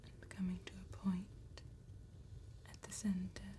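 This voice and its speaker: A woman whispering an ASMR roleplay as a soft-spoken vampire tattoo artist, gently and deliberately, with pauses between phrases. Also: mid-range voice, monotone. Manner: slow, gentle, deliberate enunciation with long pauses.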